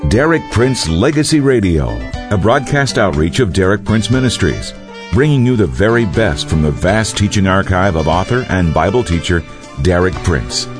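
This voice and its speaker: A man reading a scripted radio show introduction in an announcer's delivery. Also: fairly low pitch. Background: guitar music.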